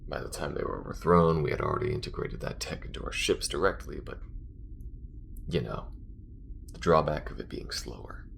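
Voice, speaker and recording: A man playing an alien smuggler in an intimate audio roleplay, tender, wry and worried, speaking in a soft, halting, breathy murmur. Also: a little hiss or hum, full character voice. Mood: anxious, planning. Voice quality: very deep, gravelly, breathy murmur. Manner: halting, soft-spoken, often dropping to a whisper.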